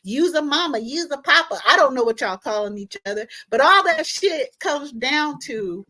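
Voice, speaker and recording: A woman's voice: high-pitched, lively intonation, moderate pace, sharp tone, poor audio. A woman hosting an online talk, speaking emphatically and directly to viewers in an impassioned, informal way. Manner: emphatic, direct address with repeated tag questions. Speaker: online show host.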